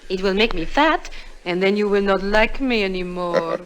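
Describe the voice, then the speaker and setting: Actress as coquettish foreign flirt. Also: female voice, medium pitch, normal speed, slight background noise, lightly acted, poor audio. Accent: foreign European. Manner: coquettish, broken English. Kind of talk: old-time radio drama acting.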